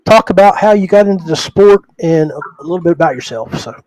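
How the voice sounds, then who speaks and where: A man's voice: high-pitched, animated, fast talker, a little mellow, low-quality recording. A man hosting a livestream podcast over a video call, chatting informally and chummily with his guests. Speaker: podcast host. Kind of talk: casual livestream podcast chat.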